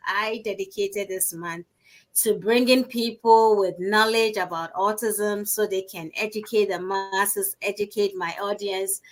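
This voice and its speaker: A woman with a bright, clear voice hosts an online conversation. She greets her guest and listeners in a welcoming, upbeat way and keeps the exchange moving. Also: medium pitch. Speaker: host. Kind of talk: casual online interview.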